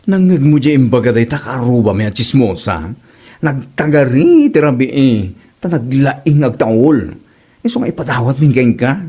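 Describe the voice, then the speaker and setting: A man's voice: mid-range voice, very animated, normal speed, dark tone, breathy edge. A man with an Ilocano accent acting a son who pesters his mother in an amateur comedy drama. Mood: nagging, animated. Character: adult son pestering his mother about their barking dog.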